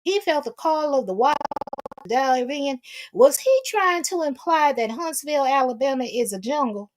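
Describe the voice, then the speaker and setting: A woman's voice: high voice, lively intonation, normal speed, noisy background. An American woman hosting casual gossip commentary, talking in a sassy, colloquial way and repeating herself for emphasis. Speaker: commentary vlog host.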